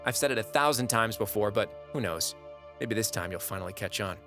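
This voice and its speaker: A man's voice: on the low side, expressive, fairly quick, lightly acted. Guy acting as sardonic ex-god telling his story, chatty audio drama narrator, music under him. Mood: wry, defiant. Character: wry, irreverent former god, narrating his own record.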